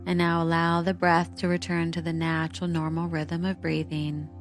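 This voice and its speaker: A woman narrating a scripted guided meditation in a soothing, hypnotic delivery, with measured instructions and lingering pauses. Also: fairly low pitch. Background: calming meditation music.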